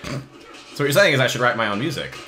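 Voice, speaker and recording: A man riffing through a let's play with crude jokes and constant swearing. His pitch swings widely as he talks, and faint music plays under his voice. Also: very animated, brisk, bright, forceful tone. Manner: crude, swearing, joking banter. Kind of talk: casual gameplay commentary. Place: hot indoor room.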